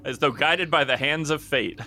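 A man's voice: fairly high pitch, normal speed, pushed and bright, faint music behind. A man chatting casually as a player in a tabletop game, with a playful, jokey manner.

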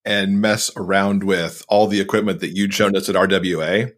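A man with a lowish voice speaks as a podcast guest. He is informal and explanatory, talking in the relaxed language of a casual interview. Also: normal speed.

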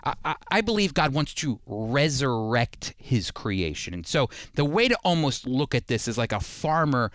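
A man's voice: mid-range voice, very animated, a bit bright, no room sound, clean studio sound. A man giving prepared sermon-style Bible teaching, speaking emphatically as he explains and reads scripture. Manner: emphatic, with rhetorical questions and slowed scripture readings. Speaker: Bible teacher and program host.

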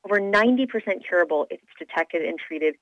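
A woman's voice: lowish voice, animated, fast, no echo. A woman speaking in an upbeat, emphatic, conversational way as an interview guest over the telephone. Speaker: radio show personality being interviewed as charity event organizer. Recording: dull lo-fi telephone line.

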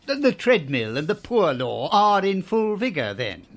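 A man's voice: high-pitched, big pitch swings, a little slow, a bit bright, heavily acted. An actor playing a cold, curt, scornful old businessman and miser in a radio drama. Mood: cold sarcasm. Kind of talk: theatrical radio drama acting.

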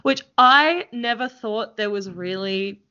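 Woman, Melbourne accent, giggly and chatty podcast host, bright tone. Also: mid-range voice, slow, clear, resonant tone, lo-fi sound. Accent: Australian, Melbourne. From Australia. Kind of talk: casual interview chat.

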